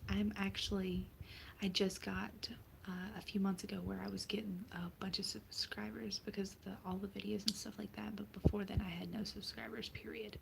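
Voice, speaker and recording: A woman's voice: mid-range voice, level tone, a little fast, creaky, very noisy. A young woman from the Southern US whispering a rambling, casual chat to her viewers, full of fillers and restarts. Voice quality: soft unvoiced whisper. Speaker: vlogger. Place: at home, in bed.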